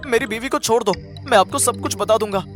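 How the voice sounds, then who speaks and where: A man's voice: very high voice, fast, a bit bright, soft background music. A man voicing a kind, cautious poor village husband in a cartoon story, speaking earnestly and emphatically. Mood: defiant, pleading. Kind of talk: Hindi cartoon story dialogue.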